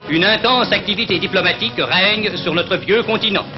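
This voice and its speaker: A man's voice: high voice, normal speed. A man narrating a 1940s newsreel in a formal, declamatory announcer delivery, on an old soundtrack. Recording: dull vintage 1940 newsreel soundtrack. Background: music.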